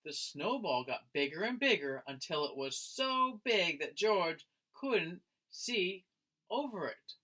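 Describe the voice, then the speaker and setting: A man, a teacher, reading a picture book aloud to young children in an expressive storytelling style. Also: high-pitched, rough recording. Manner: expressive, slow, addressing listeners as friends.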